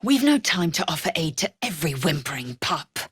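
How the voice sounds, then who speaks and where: An actress playing a fierce, proud alien warrior woman in a video game, with a raspy voice and curt, imperious, formal diction. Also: low voice, very animated, a little fast, dry recording, studio quality, exaggerated voice. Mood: stern, scornful.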